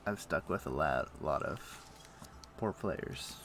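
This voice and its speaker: A man's voice: extremely low pitch, expressive, slow, gravelly. A man giving casual game stream commentary, thinking aloud as he plays. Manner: thinking aloud while playing. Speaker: livestreamer. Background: music.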